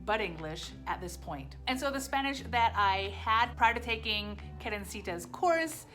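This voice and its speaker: A woman with a slightly higher voice vlogs casually, frank and self-mocking, often repeating short phrases for emphasis. Her first language was Japanese. Soft music plays underneath. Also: animated, normal speed. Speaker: vlogger. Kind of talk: casual vlog reflection.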